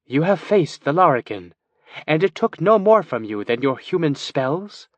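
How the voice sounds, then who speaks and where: A man's voice: fairly high pitch, big pitch swings, normal speed, no room sound, lo-fi sound, pitched above the performer's own voice. A man voicing a wary male elf scout and warrior who questions in a formal manner.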